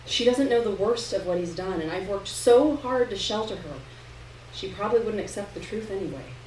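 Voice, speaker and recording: A woman reads first-person fiction aloud with expressive, dramatic delivery. Her pitch is mid-range, and she sounds a little way from the mic in an echoey room, with faint noise in the recording. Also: normal speed. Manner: dramatic delivery, acting out dialogue. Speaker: reader of fiction at a live literary event. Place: indoor room at a live reading. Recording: roomy, distant live recording with noise.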